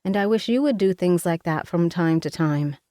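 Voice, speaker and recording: A woman voicing a weary young woman visiting her mother, speaking gently. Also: on the low side, moderate pace, no room sound, studio quality, close to an everyday voice. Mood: gentle.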